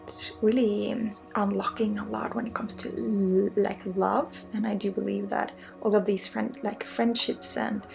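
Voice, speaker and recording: A woman who is a native Swedish speaker, giving an ASMR energy healing in an intimate, halting, casual way, slipping often between soft voice and breathy whisper. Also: mid-range voice, flat delivery. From Sweden. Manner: casual, blunt, swearing, halting with asides. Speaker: spiritual healer and ASMR host. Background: music.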